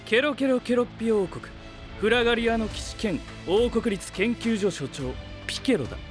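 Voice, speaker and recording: Formal, pedantic young scientist knight. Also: male voice, extremely high pitch, normal speed, soft background music. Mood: proud. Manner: formal, stiff, logical phrasing. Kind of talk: Japanese anime-style voice drama acting.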